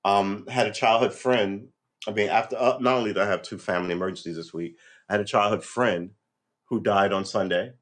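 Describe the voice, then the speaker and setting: Low-voiced man in his fifties, musing. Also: fairly quick. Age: 50s. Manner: rambling, restarts, frequent "you know" and "right?"; lively pitch swings when animated. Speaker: vlogger. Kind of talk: reflective monologue. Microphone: livestream setup.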